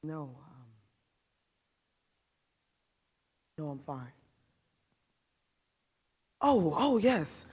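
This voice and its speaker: A woman playing an embittered, wounded mother in a dramatic stage monologue, halting and defensive, throwing out pointed questions. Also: low voice, big pitch swings, darkish tone, husky, voice pushed down, full character voice. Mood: tense, subdued. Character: embittered, wounded mother deciding her attacker's punishment.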